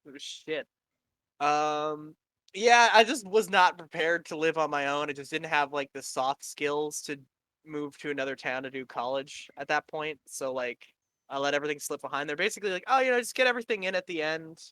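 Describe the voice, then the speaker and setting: A young man from Canada hosts a loose, casual chat with a high, bright voice that can pass for a woman's. He talks in a crisp, clear tone with a very dry, close sound. He rambles, swears freely and laughs easily. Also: dry recording. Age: 20s. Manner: rambling and profane, quick to laugh. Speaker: podcast host.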